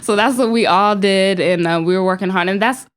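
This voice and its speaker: A young woman from South Carolina, a guest musician chatting in a podcast interview, warm and giggly with lots of ums. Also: mid-range voice, a little fast. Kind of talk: casual interview chat.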